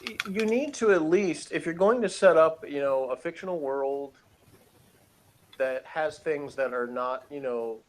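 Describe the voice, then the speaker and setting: A man's voice: on the high side, measured pace, slight background noise. A man giving a casual, opinionated review as a podcast co-host, rambling and emphatic in an exasperated way.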